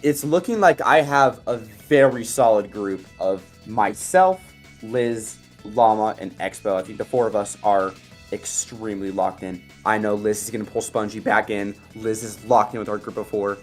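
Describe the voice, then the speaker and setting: A twenty-two-year-old man with a lowish voice talks through his alliance in a confident, measured way. It is a casual, unscripted confessional. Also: soft background music. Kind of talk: casual confessional about game strategy.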